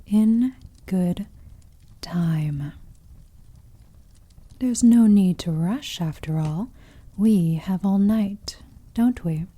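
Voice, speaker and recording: A woman playing a seductive, possessive female vampire in an intimate ASMR roleplay, speaking softly and breathily, often whispering, with teasing, silky condescension. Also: medium pitch. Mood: coaxing, unhurried. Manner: slow, teasing, silky condescension with pet names.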